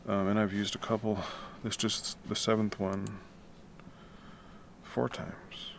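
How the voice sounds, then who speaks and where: A man with a deep baritone voice, chatting casually and rambling while he works, keeping his voice quiet in the middle of the night. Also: slow, creaky. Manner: relaxed, rambling, swears casually; talks quietly to keep the noise down. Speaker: music composition streamer. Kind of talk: casual late-night talk while working. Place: home room at night. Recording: noticeable background noise with typing.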